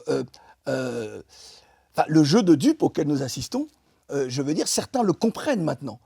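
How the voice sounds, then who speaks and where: A middle-aged man speaks with impassioned emphasis, building his argument and then trailing off into hesitant restarts. His voice sits fairly high but sounds soft and warm, with a light husk. It is recorded close and dry in a studio. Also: vocal fry, breathy edge, dry recording. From France. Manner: emphatic, many pauses and restarts. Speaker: interview guest. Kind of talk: impassioned political interview talk.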